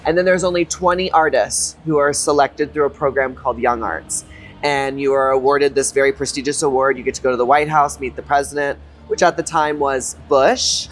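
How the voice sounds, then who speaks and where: A drag performer and choreographer from Texas, chatting as a guest in a lively, campy, playful way with quick quips. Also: male voice, medium pitch. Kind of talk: casual interview chat.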